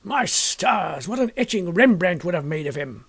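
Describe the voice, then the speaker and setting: A man's voice: high voice, big pitch swings, moderate pace, vocal fry, fairly bright tone, slightly rough, exaggerated voice. A man voicing a blustering, exuberant, opinionated male painter in a storybook reading, with an emphatic, declamatory manner. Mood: loud, exuberant.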